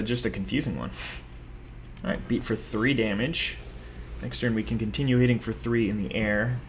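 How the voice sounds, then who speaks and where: A man's voice: on the low side, slight background noise. A young man giving rambling, self-mocking commentary over his own card game, talking casually to viewers. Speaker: gaming video host.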